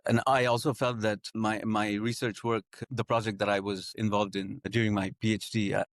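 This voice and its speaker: A young man from Nepal, a guest talking through his story in a conversational interview. His voice sits on the low side and comes across close and dry, with no room sound. He speaks in a halting, thoughtful way, full of "uh"s and restarted phrases. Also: little pitch movement, dry recording. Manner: hesitant, many fillers and restarts.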